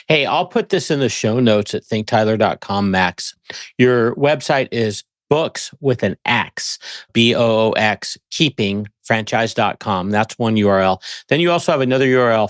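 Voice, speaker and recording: A man's voice: fairly low pitch, moderate pace, no echo, clean studio sound. A man hosting a business podcast, friendly and affirming in casual conversation. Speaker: podcast host. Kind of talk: casual interview chat.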